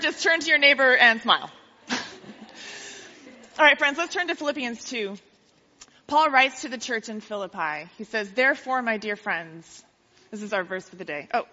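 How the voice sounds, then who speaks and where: A woman preaches to her congregation, slipping in teasing jokes and frequent laughs. Her voice sits a little high and rings bright and forceful. The recording is dull and lo-fi, with long reverb from the church hall. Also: lively intonation, moderate pace, long pauses, slight background noise. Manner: joking, teasing, laughs often. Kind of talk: sermon with playful jokes. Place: church sanctuary.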